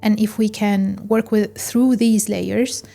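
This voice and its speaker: A woman from Egypt hosting a solo podcast, speaking in a calm, measured way with pauses and fillers. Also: medium pitch, creaky, soft background music. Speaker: podcast host. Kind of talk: reflective solo podcast talk.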